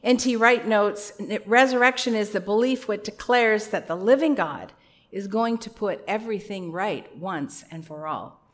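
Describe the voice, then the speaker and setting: Woman preacher, loud voice, earnest and conversational, mid pitch. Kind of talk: sermon.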